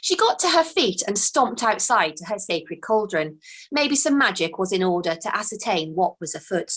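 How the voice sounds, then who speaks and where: A woman author reading her children's book aloud with expressive, unhurried storytelling. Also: mid-range voice.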